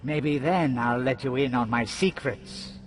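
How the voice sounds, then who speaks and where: An actor playing a gleeful, taunting schemer god in a fantasy video game, with mocking, theatrical delivery. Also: male voice, mid-range voice, measured pace, background noise, lightly acted.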